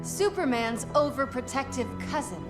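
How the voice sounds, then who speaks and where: Very high, defiant young superheroine. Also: female voice, expressive, normal speed. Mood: determined. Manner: forceful, defiant. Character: defiant, determined young female alien superhero. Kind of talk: video game voice acting. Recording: echoing, loud action music behind.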